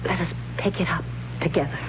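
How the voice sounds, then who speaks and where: A woman's voice: fairly low pitch, brisk, natural voice. An actress playing a brave, wondering young tribeswoman in a 1970s radio drama, speaking solemnly in simple phrases. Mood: hushed, uneasy. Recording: dull, noisy old radio broadcast with hum.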